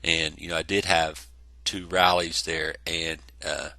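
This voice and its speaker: A man's voice: deep voice, little pitch movement, unhurried, sharp tone, no room sound. A congested man casually narrating a tabletop wargame battle report, rambling with many fillers. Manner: rambling, self-correcting, lots of fillers.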